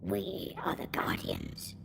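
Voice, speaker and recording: A woman playing a menacing alien guardian in an audio drama, speaking in stilted, imperious pronouncements with a hissing rasp. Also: fairly low pitch, wildly swooping pitch, slow pace, whispered, over music, exaggerated voice. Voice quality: breathy hissing rasp, gravelly. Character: menacing alien guardian serving a goddess, big bug-eyed monster.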